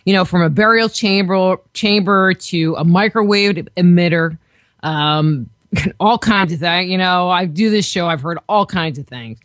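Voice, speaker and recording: A woman's voice: fairly low pitch, unhurried, dry recording. Chatty female radio host, dry sound. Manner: chatty, conversational questioning. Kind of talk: casual interview chat.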